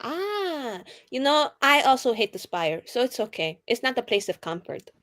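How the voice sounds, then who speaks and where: A woman casually roleplaying at a tabletop game, expressive and quick to joke. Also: on the high side. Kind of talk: casual, joking in-character roleplay and table talk.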